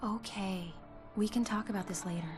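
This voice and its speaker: A woman's voice: mid-range voice, normal speed, over loud music, natural voice. A woman playing an affectionate young wife in a video game, talking in an easygoing, playful way.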